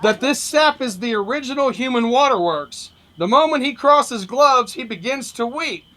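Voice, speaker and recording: A man's voice: very high voice, lively intonation, normal speed, sharp tone, pitched above the performer's own voice, exaggerated voice. A man reading a pulp story as an exasperated, slangy fight-game man explaining a hopeless boxer. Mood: patient. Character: indignant, patient male boxing trainer explaining a hopeless fighter.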